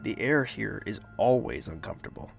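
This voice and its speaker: An actor playing a courteous, quietly caring host and narrator with a husky, measured, dry delivery in an audio drama. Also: mid-range voice, animated, faint music behind. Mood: wary.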